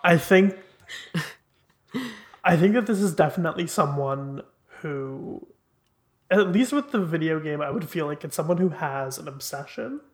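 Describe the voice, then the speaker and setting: A man's voice: fairly high pitch, animated, normal speed. A man speaking as a podcast guest in a casual panel discussion, explaining things tentatively.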